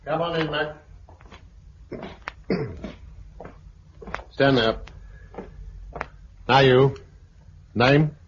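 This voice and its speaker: A man's voice: medium pitch, slow pace, natural voice. Guy acting a bossy gang leader in an old british radio play, curt orders, muffled hissy 50s sound. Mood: curt, commanding. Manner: curt, commanding. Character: brusque, bossy man commanding a press-gang.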